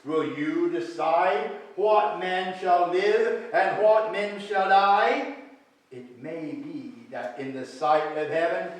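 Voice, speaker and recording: A man playing a stern, solemn spirit in staged reader's theatre, declaiming archaic lines gravely. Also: sits high, slow. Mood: scolding. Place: indoor hall stage with seated audience. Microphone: far camera. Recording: distant echoey hall recording.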